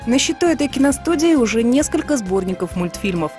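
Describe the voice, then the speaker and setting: A woman's voice: fairly high pitch, lively intonation, a little fast. Woman reporter narrating over music. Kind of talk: scripted narration of a TV feature.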